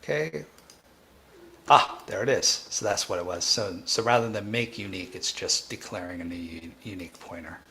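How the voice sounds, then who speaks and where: A man hosting a live coding tutorial, thinking aloud in a halting, informal way and often restarting his sentences. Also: low-pitched, bright, forceful tone. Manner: halting, self-interrupting, informal. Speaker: livestream host, programming instructor.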